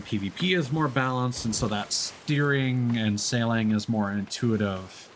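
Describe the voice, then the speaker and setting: A man's voice: on the low side, a little hiss or hum. A man streaming a game, talking casually and explaining things at length with frequent fillers. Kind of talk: casual gameplay commentary.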